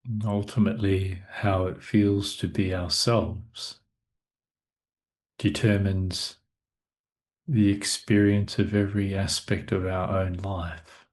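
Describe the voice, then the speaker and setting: Very slow, low-voiced male meditation guide. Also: level tone, a little mellow. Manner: measured, with long pauses between short phrases. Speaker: meditation teacher.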